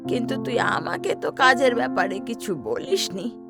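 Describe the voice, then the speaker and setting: A woman's voice: a little high, animated, normal speed, loud music behind the voice. A woman voicing a concerned, questioning mother in a cartoon, probing and earnest. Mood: questioning, relieved. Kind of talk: cartoon voice acting.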